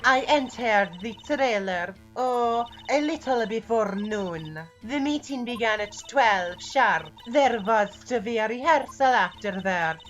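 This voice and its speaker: An imperious, menacing woman boss, played by an actress, with a fairly high voice. She is cold, curt and disdainful, in game fan-dub style over faint music. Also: lively intonation, slow pace, few pauses, dull audio. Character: cold, menacing, imperious female studio producer. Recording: faint background music behind voice.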